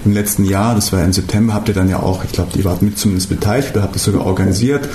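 Low, mellow-voiced male radio host. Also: fairly even intonation, very fast, echoey room, slight background noise. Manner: hesitant, with fillers and restarts. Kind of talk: radio talk show interview. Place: radio studio.

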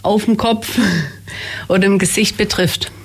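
A woman's voice: medium pitch, fairly even intonation, measured pace. A woman who works as a theater makeup artist, answering interview questions hesitantly with many fillers. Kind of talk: casual interview.

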